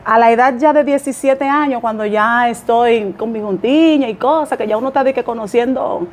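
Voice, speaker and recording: A woman's voice: a little high, normal speed, a little hiss or hum. A woman pastor from the Dominican Republic, speaking with a Dominican accent as she tells her life story in a podcast interview, humble and chatty with small laughs. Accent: Dominican Spanish. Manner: warm, humble storytelling with light laughs and fillers. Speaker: pastor and guest.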